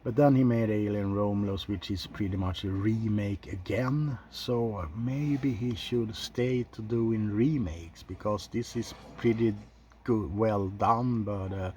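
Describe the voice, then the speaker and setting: A man's voice: on the low side, a little mellow, slight background noise. A man hosting an ASMR film ranking, chatting in an informal, rambling way with some mild swearing. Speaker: video host reviewing films.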